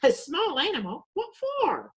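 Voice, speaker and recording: A woman's voice: very high-pitched, huge pitch swings, measured pace, exaggerated voice. A woman playing an inquisitive, shrewd small-town doctor in an amateur readers theater mystery. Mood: curious.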